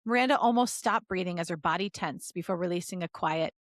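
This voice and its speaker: A woman podcast host reading fan fiction aloud as an expressive story narrator. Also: fairly low pitch, a little fast, no room sound, clean studio sound.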